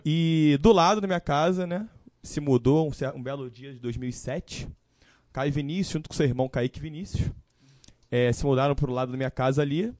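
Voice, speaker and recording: A man in his early twenties with a Carioca Portuguese accent, telling his story as a guest in a casual live-stream chat, relaxed and full of fillers. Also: a little high, a little fast, dry recording, clean studio sound. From Rio de Janeiro, Brazil. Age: 20s. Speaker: guest musician.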